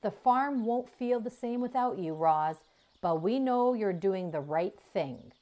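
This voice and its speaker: A woman's voice: medium pitch, animated, unhurried, mellow, dull audio, pitched above the performer's own voice, natural voice. A woman voicing a curious young female calf in a children's story, earnest and sweet. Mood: comforting. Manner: earnest, inquisitive.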